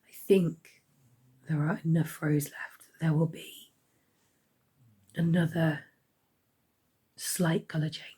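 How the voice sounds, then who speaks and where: A woman giving a casual crafting update, hoarse and half-whispering as her voice fails. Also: sits low, mellow. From United Kingdom. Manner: half-whispered, croaky delivery. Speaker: crochet vlogger.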